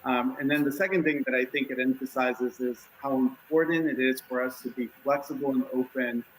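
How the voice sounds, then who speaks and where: A man in his thirties from Los Angeles, a community leader speaking in a measured, reflective way on a panel. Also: mid-range voice, steady tone, slight background noise, rough recording. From Los Angeles, United States. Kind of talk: panel discussion.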